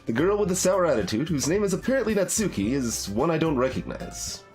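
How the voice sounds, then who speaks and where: A man's voice: on the high side, big pitch swings, brisk, darkish tone. A man livestreaming a game, reading its narration and dialogue aloud with casual commentary over music.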